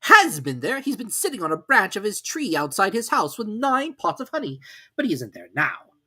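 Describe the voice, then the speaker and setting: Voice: medium pitch, very animated, moderate pace, bright, forceful tone, slightly rough, voice pushed up, heavily acted. A storybook reader voicing a pompous, long-winded owl who speaks formally in grand words. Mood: brisk, obliging.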